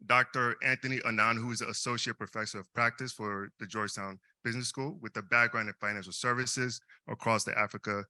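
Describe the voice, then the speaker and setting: Bright, halting young man emceeing. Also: mid-range voice, no room sound. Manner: hesitant, frequent repeated words. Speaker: student event host. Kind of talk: live event emceeing.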